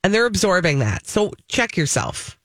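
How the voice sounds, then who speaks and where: An American woman co-hosts a radio talk show in a lowish voice. She addresses listeners head-on, conversationally, and repeats phrases for emphasis. The sound is close and dry, like a studio. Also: sing-song, normal speed, no room sound. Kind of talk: casual radio chat.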